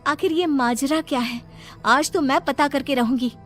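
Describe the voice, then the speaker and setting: A woman's voice: sits high, normal speed, faint music behind, pitched above the performer's own voice, lightly acted. A woman voicing a curious, easily frightened young granddaughter in a cartoon story, with emotional, pleading delivery. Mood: alarmed, insistent. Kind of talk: Hindi cartoon story voice acting.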